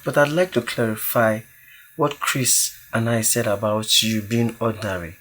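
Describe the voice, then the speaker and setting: A man's voice: fairly low pitch, moderate pace, fairly bright tone, a little hiss or hum, natural voice, rough recording. A man acting a contrite young groomsman, earnest and formal, in an audio drama. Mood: apologetic.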